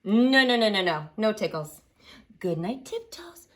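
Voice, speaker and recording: A woman leading a library storytime for children, singing along and reading picture books aloud in an expressive, exaggerated way. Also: medium pitch, measured pace. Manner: expressive, exaggerated delivery aimed at small children. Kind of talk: animated children's sing-along and picture-book read-aloud.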